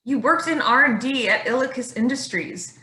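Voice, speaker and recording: A woman's voice: sits high, moderate pace, bright, forceful tone. A woman playing a brash, blunt mother turned kidnapper in a staged play reading. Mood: doubting. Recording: echoey room.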